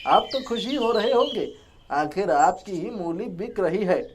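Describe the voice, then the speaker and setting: Actor as resentful young village farmer, sulky, complaining, animated pitch, audio story, birds behind. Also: unhurried, noisy background, lightly acted.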